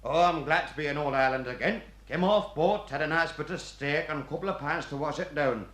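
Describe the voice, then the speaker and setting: A man's voice: a little high, brisk, a bit bright, roomy sound, natural voice. An actor playing a jovial, chatty English hangman with a Northern accent, breezy and folksy in a radio play. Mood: cheery.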